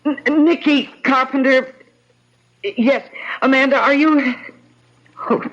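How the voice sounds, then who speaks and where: An emotional, accusing heroine in a radio mystery play, very high in pitch. She speaks in halting, indignant bursts, defiant and horrified, on a dull lo-fi recording. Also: fairly even intonation. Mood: urgent pleading. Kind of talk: old-time radio drama acting.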